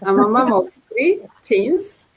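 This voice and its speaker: A woman's voice: a little high, very animated, slow, rough recording. A middle-aged woman with a Kenyan accent casually introduces herself as a panelist.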